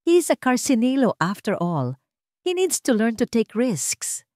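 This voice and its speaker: A woman's voice: fairly high pitch, very animated, unhurried, warm tone, dry recording, studio quality, voice pushed up. A woman with a Filipino accent voicing a devoted young billionaire in a romantic audio drama, speaking sincerely and tenderly. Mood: fond, proud. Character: earnest, devoted young male billionaire in love.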